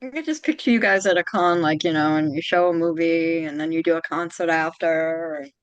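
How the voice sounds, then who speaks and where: Brief: woman podcast host, youthful sound, slightly low pitch, dry close sound, chatty and rambling. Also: a little fast, no room sound, highs cut off. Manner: chatty, rambling, with frequent restarts. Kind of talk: casual interview chat.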